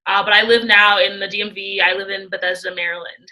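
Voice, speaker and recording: A woman in her twenties from Queens, introducing herself friendly and brisk. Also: medium pitch, steady tone, pushed and bright, poor audio. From Queens, New York City.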